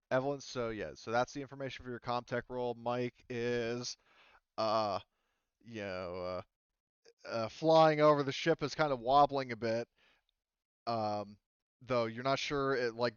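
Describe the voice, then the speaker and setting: A man's voice: medium pitch, smooth, no room sound, dull audio. A man acting as game master, casually narrating and explaining rules in a hesitant, rambling way.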